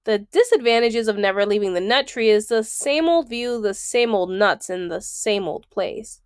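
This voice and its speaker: A woman's voice: medium pitch, animated, moderate pace, clear, resonant tone, no room sound, clean studio sound. A woman reading a children's book aloud, enunciating item by item and adding explanatory asides.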